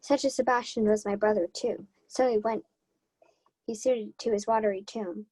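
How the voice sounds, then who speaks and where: A woman's voice: medium pitch, fairly even intonation, moderate pace, warm tone, husky, close to an everyday voice, poor audio. A young woman playing an earnest, devoted young page in a Shakespeare radio play. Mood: moved. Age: young adult.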